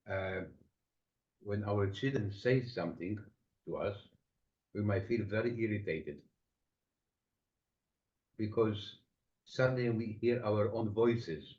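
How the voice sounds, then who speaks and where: A man with an Estonian accent, a psychotherapist answering interview questions thoughtfully, with many pauses. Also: lowish voice, a little mellow. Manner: reflective, explains with everyday examples, frequent pauses. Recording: dull lo-fi sound.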